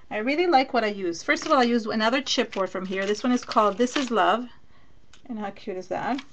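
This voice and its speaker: A woman from Venezuela whose English carries a Spanish accent, talking her way through a live craft demonstration. Her voice has a noticeable gravelly fry, and she chats easily, thinking aloud and replying to viewers as she works. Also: fairly high pitch, slight background noise. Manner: chatty, thinks aloud while working, answers viewer comments.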